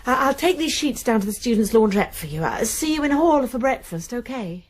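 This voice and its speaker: An actress playing a kindly amateur sleuth in a radio drama, speaking with an English accent and a gentle, questioning manner. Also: female voice, on the high side, expressive, a little fast, slight background noise, close to an everyday voice. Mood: brisk.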